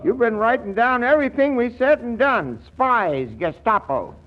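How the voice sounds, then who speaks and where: An actor playing a cranky, folksy crackpot farmer in a 1940s radio comedy, with an accusing manner. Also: male voice, very high voice, lively intonation, moderate pace. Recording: dull vintage 1940s radio recording.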